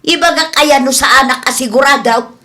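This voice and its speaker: Radio drama: woman plays tight-fisted female employer, high voice, bossy, dismissive delivery. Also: normal speed, bright, forceful tone. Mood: defiant. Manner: curt, bossy. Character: stingy, scolding female shop boss who lies. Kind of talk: Ilocano radio comedy drama acting.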